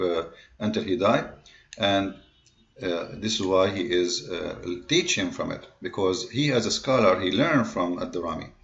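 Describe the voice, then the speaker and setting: An emphatic man with an Arabic accent lectures his online audience in a fairly low voice. He numbers his points and stumbles through plenty of fillers and restarts. The recording is dull and muffled. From Middle East. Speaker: online commentator on religion. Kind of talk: lecture-style talk to viewers.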